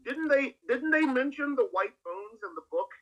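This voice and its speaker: A man's voice: very high voice, lively intonation, moderate pace, a bit bright, rough recording. A man co-hosting a podcast in casual discussion, eagerly talking and often repeating the starts of his sentences. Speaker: podcast co-host.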